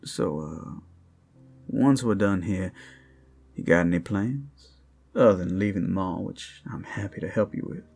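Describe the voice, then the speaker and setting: A man's voice: sits low, expressive, unhurried, darkish tone, soft background music, full character voice. A man voicing a playful, flirtatious and friendly werewolf in an ASMR roleplay, teasing a single listener. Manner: teasing, smooth-talking, addressing one listener. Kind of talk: ASMR roleplay, intimate character acting.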